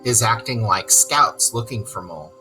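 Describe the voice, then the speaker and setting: A storyteller reading aloud to children in expressive narration, with explanatory asides. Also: medium pitch, normal speed, over music, rough recording.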